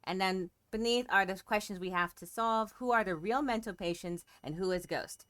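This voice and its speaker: A woman's voice: mid-range voice, normal speed, a bit bright. A woman giving casual gameplay commentary with wry little asides as she goes. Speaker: game streamer.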